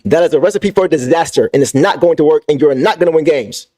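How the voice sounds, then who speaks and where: A young man with a voice going hoarse, hosting a livestream and venting about basketball, repeating his points with emphasis and talking to the chat. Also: fairly high pitch, fast, mellow. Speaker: sports fan channel host. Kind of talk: livestream sports rant.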